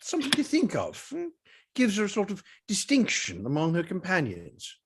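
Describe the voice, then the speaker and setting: A man's voice: sits high, wildly swooping pitch, normal speed, fairly bright tone, slightly rough, heavily acted. A man playing a wry, teasing older father, genteel and sardonic, in a staged reading of a period comedy. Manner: droll, sardonic.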